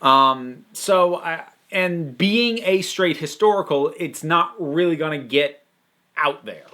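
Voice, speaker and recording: A man hosting a casual, unscripted review, talking emphatically and thinking aloud with halting, digressive phrasing. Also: a little high, animated, sharp tone. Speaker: host reviewing audio dramas.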